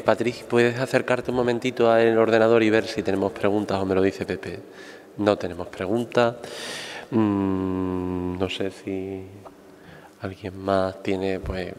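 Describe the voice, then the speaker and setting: A man moderating a question round, brisk and offhand, organizing the room. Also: sits low. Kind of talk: casual event moderation. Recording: echoey hall with faint noise.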